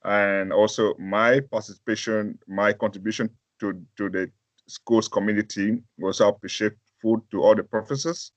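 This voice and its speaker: A man from Africa, a pastor, answering interview questions in a halting way, with many fillers and restarts and a deep voice. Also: steady tone, no room sound.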